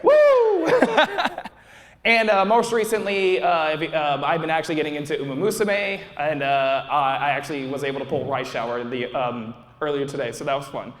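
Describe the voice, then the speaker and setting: A man's voice: a little high, animated, normal speed, fairly bright tone. Young man rambling at a convention panel. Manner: rambling, frequent restarts and fillers. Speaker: YouTuber presenting a convention panel. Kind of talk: casual live panel talk. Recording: audience recording with long hall reverb.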